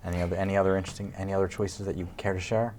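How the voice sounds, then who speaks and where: A man's voice: deep voice, level tone, rapid-fire, a little mellow. A man co-hosting a studio interview, making observations and putting questions to guests. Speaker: co-host interviewer.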